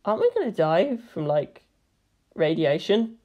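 A woman's voice: lowish voice, sing-song, unhurried, dark tone, muffled recording. Casting: woman as serious, slightly awkward teen boy for audio fiction, hesitant and earnest. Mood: sheepish.

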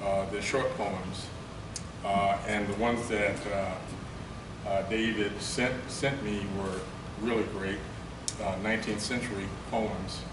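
Older man, poetry reader, slow delivery, distant podium mic, echoey noisy hall. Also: on the low side, steady tone. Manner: halting, many fillers in remarks; slow, declamatory recitation. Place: conference room with lectern. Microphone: podium mic, from a far camera. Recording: roomy, distant, noisy room recording.

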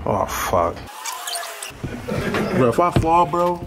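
A man's voice: a little high, big pitch swings, slow. Jumpy swearing man exploring. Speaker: vlogger exploring a haunted building. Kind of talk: casual exploration chat. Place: abandoned old factory at night. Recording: noisy handheld camera audio.

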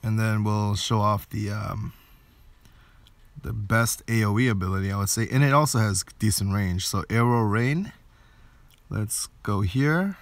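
Low-voiced man casually commentating gameplay. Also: unhurried, no echo. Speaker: game video creator.

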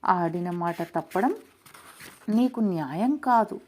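A woman's voice: on the low side, takes it slowly, mellow, voice pushed down. A woman playing a frightened but clever village man who bargains politely in a storybook tale. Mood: persuasive.

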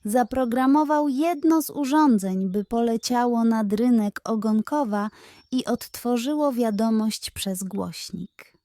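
Slow, high-voiced woman storyteller. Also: mellow, no echo. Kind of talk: scripted children's bedtime narration.

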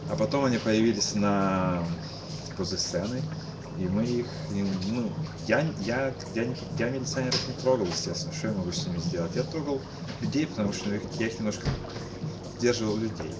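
Low-voiced man, muffled over heavy noise. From Kyiv, Ukraine. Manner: halting, repeats and restarts words. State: tired. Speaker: interviewee, musician. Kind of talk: casual spoken eyewitness account. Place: cafe-like booth indoors. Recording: muffled lo-fi webcast, loud background noise.

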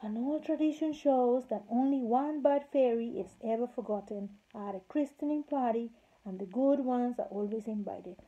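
A woman's voice: fairly high pitch, normal speed, lightly acted. A woman voicing a firm, stubborn king who lectures the fairies, in a storybook reading. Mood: lecturing. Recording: slightly muffled lo-fi recording.

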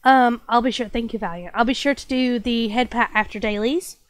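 A woman's voice: fairly high pitch, a little fast, no room sound. A young woman streaming and chatting casually with her viewers, stuffy-nosed with a lingering cough, bubbly and apologetic. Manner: bubbly, apologetic, lots of fillers.

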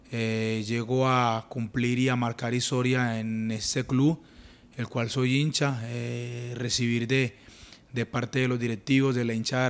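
A young Colombian man giving earnest interview answers on a sofa at home, speaking into a handheld mic, calm and full of small restarts. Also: medium pitch, smooth. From Urabá, Colombia. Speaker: interviewed footballer. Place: living room, on a sofa indoors. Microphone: handheld mic held at chest.

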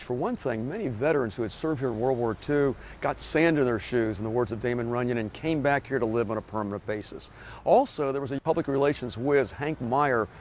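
A man guiding a walking tour and explaining local history in a measured, informative way. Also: mid-range voice, darkish tone. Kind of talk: scripted walking-tour narration. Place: outdoors on a beach boardwalk, later a hotel lobby.

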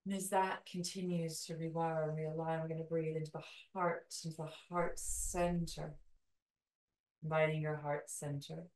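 A woman leading a guided meditation in a soothing, calming way, with slow, deliberate phrases and long pauses. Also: low-pitched. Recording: roomy echo, distant from mic.

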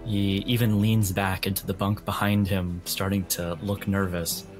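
A man running a tabletop game as game master, narrating scenes descriptively in a measured way. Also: sits low, little pitch movement, faint music behind. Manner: measured, addresses players in second person.

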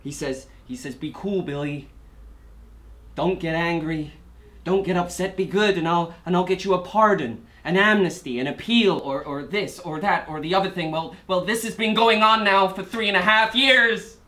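A man's voice: a little high, close to an everyday voice. A man playing a bitter, defiant prisoner who addresses the court in a dramatic film monologue, often repeating his words. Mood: wry, frustrated. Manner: halting, repeats words, building from subdued to shouting. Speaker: actor performing a film monologue.

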